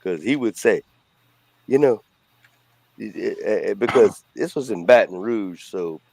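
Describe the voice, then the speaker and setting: A man's voice: fairly low pitch, expressive, unhurried, creaky, dark tone, dry recording. A middle-aged man with a Cajun accent, chatting casually as a co-host in a blunt, rambling way. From Louisiana. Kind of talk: casual chat.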